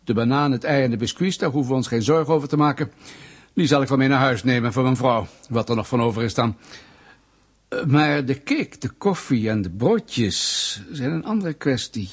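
A slightly husky male interrogator for the state in a radio drama. He is mock-polite and sarcastic, picking over every detail with pedantic, smug menace. His pitch sits in the middle range for a man. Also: animated, moderate pace. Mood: smug, threatening. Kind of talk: Dutch radio play acting.

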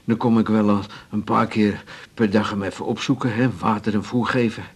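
A man's voice: lowish voice, fairly even intonation, fairly quick, husky. An actor playing an elderly, breathless male patient in a radio drama, homely and hesitant, stubborn but amiable. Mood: puzzled, amiable. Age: older.

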